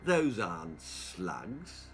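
A man voicing a sinister, softly menacing barber from a children's horror story, slow and deliberate. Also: deep voice, wildly swooping pitch, soft background music, voice pushed down, heavily acted. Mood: quietly menacing.

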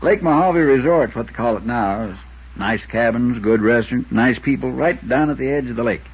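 Husky, folksy old-time-radio character. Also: male voice, mid-range voice, moderate pace. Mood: genial, folksy. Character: folksy, talkative Arizona insurance man with cowboy ways. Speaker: supporting actor. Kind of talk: 1950s radio drama acting. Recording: dull 1950s radio recording.

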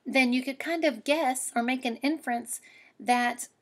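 A woman, a teacher giving an expressive, explanatory read-aloud lesson for children. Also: high voice, unhurried.

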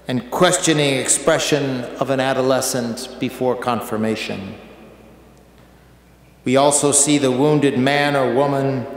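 Older man, American accent, homily, very slow, solemn, long church reverb. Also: a little high. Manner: measured, solemn delivery with long pauses. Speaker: archbishop. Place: large shrine church, indoors.

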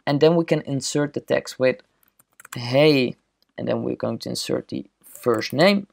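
A man walking through a software setup step by step in a screen-recorded tutorial, steady and explanatory. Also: mid-range voice, unhurried, vocal fry.

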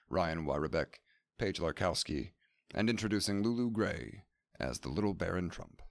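Young man, formal radio announcer, low voice, gravelly, small room with vintage mic. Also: dry recording. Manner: formal old-time radio announcer, measured. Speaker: producer and announcer. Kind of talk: scripted closing announcement. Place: small room with typewriters on shelves. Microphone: vintage-style stand microphone.

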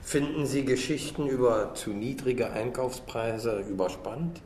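An actor playing a sceptical police detective in a radio crime drama, asking pointed, controlled questions. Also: male voice, mid-range voice, unhurried, a little mellow, reverberant, natural voice. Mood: probing, sceptical. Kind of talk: naturalistic German radio drama acting.

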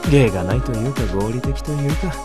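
A man's voice: medium pitch, fairly quick, lightly acted. A man voicing a wry, polite intellectual in a game, musing with faint condescension in conversational dialogue. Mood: sardonic, musing. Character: wry, polite male intellectual in a lab coat. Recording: music under the voice.

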